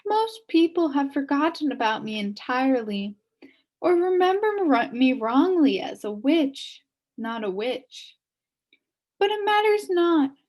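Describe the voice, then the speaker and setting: A woman's voice: high voice, big pitch swings, unhurried, darkish tone. A woman voicing a sad, wistful elderly woman recounting her downfall in a storybook. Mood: sad, resigned. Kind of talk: storybook character reading.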